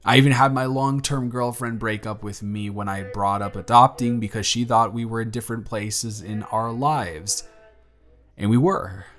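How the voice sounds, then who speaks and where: A man playing an earnest young single dad in his twenties, talking softly and a little flustered in an audio roleplay. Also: on the low side, lightly acted. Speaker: father confessing feelings to the babysitter.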